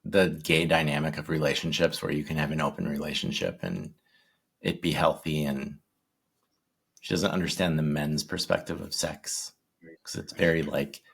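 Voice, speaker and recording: Gravelly deep-voiced man in his forties. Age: 40s. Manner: shy, halting, many ums and restarts. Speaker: interview guest. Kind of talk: casual interview chat.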